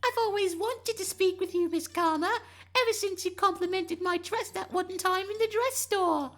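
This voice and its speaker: Squeaky, very high young market girl. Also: moderate pace, a bit bright. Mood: adoring. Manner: gushing, squeaky. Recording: music behind the voice.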